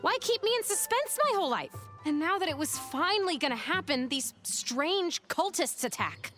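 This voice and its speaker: A woman playing a spirited young outcast huntress in an animated game, curious, plucky and wry. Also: high voice, moderate pace, soft background music. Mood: impatient. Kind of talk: animated game cutscene dialogue.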